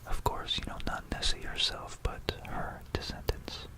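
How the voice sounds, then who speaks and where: An ASMR creator speaking in a soft, unvoiced whisper, mixing reading aloud with informal, musing asides, close to a stereo microphone. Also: moderate pace. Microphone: close stereo binaural microphone.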